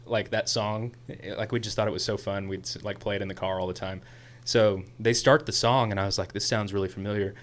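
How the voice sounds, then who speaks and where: A young man co-hosting a podcast in casual chat, an excitable storyteller who rambles, his voice a little hoarse. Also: lowish voice, brisk. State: slightly hoarse after a concert. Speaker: podcast co-host.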